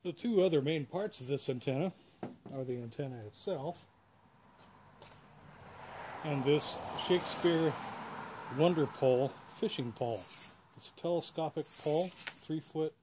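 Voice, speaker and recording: A man's voice: on the high side, unhurried, long pauses, a little mellow. A man with an American accent gives a casual, plain-spoken how-to demonstration as a hobbyist host, explaining step by step. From United States. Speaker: host, radio hobbyist demonstrating a home-built antenna. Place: outdoors beside a parked car. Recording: clear background noise, outdoor ambience.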